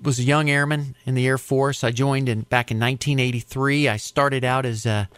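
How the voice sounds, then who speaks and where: Middle-aged American man, reflective and halting. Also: mid-range voice, fairly even intonation, fairly bright tone, clear, resonant tone, no room sound. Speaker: interview guest, aviation safety advocate. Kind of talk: conversational storytelling interview.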